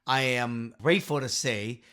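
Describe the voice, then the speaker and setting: A man in his fifties telling his story to the camera in a small home office, earnest and emphatic, into a boom-arm studio mic. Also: measured pace, fairly bright tone, clear, resonant tone. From Vietnam. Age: 50s. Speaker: real estate investor and channel host.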